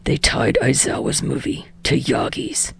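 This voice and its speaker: A woman voicing a gruff, brooding young male actor who curses often, speaking low, hushed and gravelly through clenched teeth. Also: measured pace, no echo, in a whisper, natural voice. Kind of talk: podfic reading.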